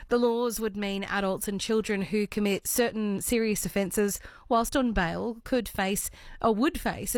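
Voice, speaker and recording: A hoarse woman with an Australian accent, hosting morning talkback radio in a chatty, friendly way. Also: medium pitch, no echo, clean studio sound. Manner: chatty, friendly, with some ums and uhs. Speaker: radio host. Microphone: studio microphone.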